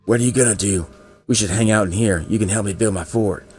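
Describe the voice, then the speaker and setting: A paranoid male student, acted out by a man, rants like a panicky conspiracy nut in a visual novel. The voice is pushed higher and keeps circling back on itself in alarm. Music plays behind it. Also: fast talker, a little mellow, lightly acted. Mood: pleading. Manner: alarmed, ranting, repeats himself.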